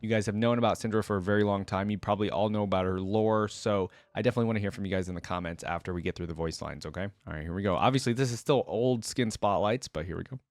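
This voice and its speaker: A man's voice: on the low side, quick pace, hardly any pauses, a little hiss or hum. A man hosting a reaction video, chatting informally to viewers and reading lore aloud. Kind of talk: casual commentary mixed with reading lore aloud.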